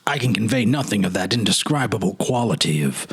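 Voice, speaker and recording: A man playing a haunted, wistful man recalling his lost childhood, halting and earnest in a dramatic literary reading. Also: lowish voice, sing-song, gravelly, husky, full character voice.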